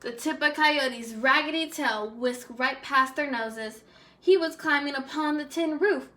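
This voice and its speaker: High-voiced, slow-paced woman reading a story. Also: bright, forceful tone. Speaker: teacher reading aloud. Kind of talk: expressive children's story read-aloud.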